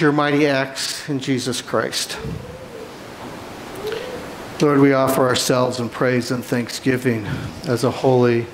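A man leads a communion prayer at a steady, middle pitch. He reads in a measured, solemn way, with a faint hiss behind him. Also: low-quality recording. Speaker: pastor. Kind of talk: reading a communion liturgy. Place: church sanctuary.

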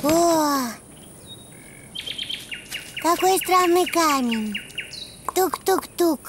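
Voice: extremely slow, noisy background, heavily acted. An actor voicing a cheerful, eager little boy donkey with a childlike voice in a preschool cartoon. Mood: curious, excited. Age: young child character. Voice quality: high, childlike. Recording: music behind the voice.

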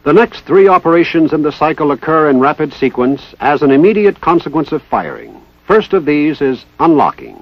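A man giving formal, precise, scripted narration in the style of a 1960s military instructional film, with measured enunciation. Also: fairly high pitch, big pitch swings, dry recording. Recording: dull 1960s training film soundtrack.

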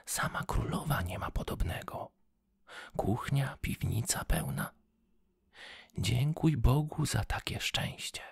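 A man's voice: medium pitch, animated, slow pace, gravelly, slightly rough, no echo, studio quality, pitched above the performer's own voice, full character voice. A man voicing a scolding old female field mouse, whispering in a storybook reading. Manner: whispered, bossy.

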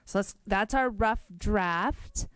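A woman facilitating a public meeting, upbeat, brisk and organized as she lays out next steps. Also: medium pitch, a bit bright, clean tone, no room sound, studio quality. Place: large meeting room.

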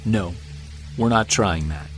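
An actor playing a tense, commanding Bigfoot hunter in an audio drama, terse and authoritative, speaking with hushed urgency. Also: male voice, lowish voice, slow pace, soft background music. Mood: cautious.

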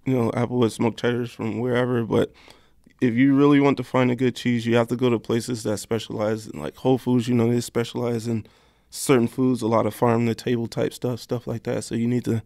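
A man's voice: medium pitch, flat delivery, a little fast, creaky, a little mellow, no room sound. A man talks in a loose, informal way as a guest on a talk show. His mid-range voice is recorded dry, and he circles back over his words with plenty of "like". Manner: informal, repeats himself, frequent "like". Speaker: guest chef and podcast co-host. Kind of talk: casual interview chat.

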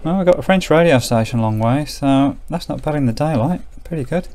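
Gravelly British man, thinking aloud. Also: fairly high pitch, animated, fairly quick, darkish tone. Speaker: presenter demonstrating a vintage radio. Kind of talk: casual hands-on review commentary. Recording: faint background noise, clicks of handling.

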